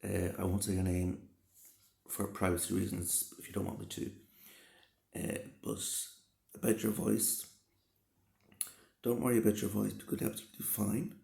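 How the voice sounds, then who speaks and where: A man with a rough, hoarse voice from a cold, giving casual, reassuring advice and often repeating and rephrasing himself. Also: on the low side, steady tone, moderate pace, pauses often, dark tone. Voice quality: gravelly, slightly rough. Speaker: vlogger answering a subscriber. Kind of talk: casual advice talk to camera. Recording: roomy, echoey sound.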